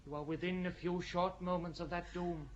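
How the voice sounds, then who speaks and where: A solemn, compassionate male cleric played by an actor in an audio drama. His voice sits a little high and he speaks persuasively and gravely. The recording is slightly dull. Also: flat delivery, moderate pace, natural voice. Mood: solemn warning. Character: earnest, pitying churchman at a heresy trial. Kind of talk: audio drama acting of a stage play.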